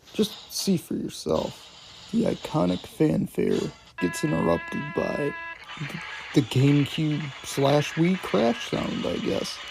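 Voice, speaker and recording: A man's voice: medium pitch, gravelly, darkish tone, low-quality recording. A man hosting a casual video essay on horror clips, speaking in an informal, opinionated way with plenty of swearing. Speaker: host of a video series reviewing analog horror.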